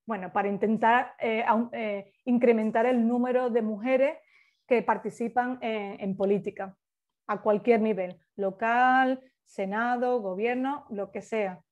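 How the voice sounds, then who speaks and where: A woman's voice: fairly high pitch, steady tone, a little slow, a little mellow. Hesitant woman explaining, lo-fi call. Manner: explanatory, many hesitations and restarts. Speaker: workshop facilitator. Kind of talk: informal workshop talk.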